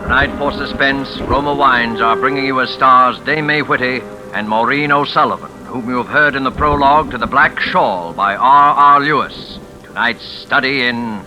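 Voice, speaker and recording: Loud music surrounds a man's medium-pitched voice on a vintage radio broadcast. He delivers a scripted introduction as a 1940s announcer, formal, measured and dramatic. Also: poor audio.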